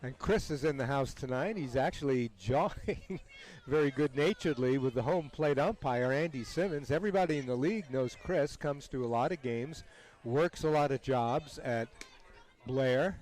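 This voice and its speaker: A man's voice: mid-range voice, normal speed, a little mellow. A man giving chatty, easygoing color commentary on a baseball broadcast. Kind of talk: conversational sports commentary.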